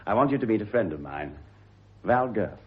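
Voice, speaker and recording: An actor playing a flippant, unruffled gentleman adventurer with an English accent and a dry, polite manner in a radio drama. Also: lowish voice, lively intonation, normal speed, lightly acted. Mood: assured. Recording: dull, noisy old radio recording.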